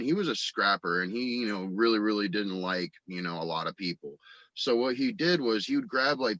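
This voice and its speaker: A man's voice: lowish voice, pushed and bright, no room sound, lo-fi sound. A man chatting casually as a podcast guest, telling rambling, profane anecdotes peppered with "you know". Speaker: podcast guest, audio drama writer.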